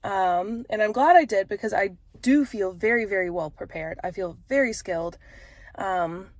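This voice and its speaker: A woman's voice: medium pitch, no echo. A woman in her thirties with a stuffy, congested voice, talking frankly and casually to camera with frequent fillers. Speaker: vlogger and author.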